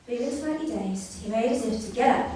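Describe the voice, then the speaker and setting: A woman performing a scripted comic story live on stage, with a deliberate mock bedtime-story delivery. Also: fairly high pitch, lively intonation, mellow. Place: live comedy venue, indoors. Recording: very echoey room, distant mic, faint noise.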